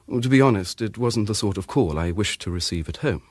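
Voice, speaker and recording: An actor playing a courteous, reticent gentleman witness with a British accent, speaking politely and hesitantly in a radio drama. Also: on the low side, animated, a little fast, husky, no echo, studio quality. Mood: embarrassed, reluctant. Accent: British English.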